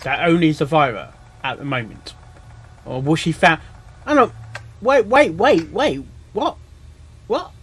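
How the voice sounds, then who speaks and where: A man's voice: a little high, expressive, measured pace, pauses often, a bit bright, breathy edge. A man giving casual reaction commentary, rambling and often repeating himself as he thinks aloud. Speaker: reaction video host.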